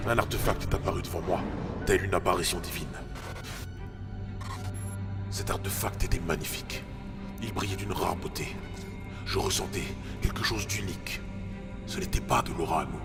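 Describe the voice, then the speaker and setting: Man playing a low, gravelly, bitter villain. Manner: theatrical, brooding, grandiose. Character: bitter vengeful male villain, rejected scientist turned illusionist. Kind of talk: dramatic audio fiction monologue. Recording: loud music behind the voice.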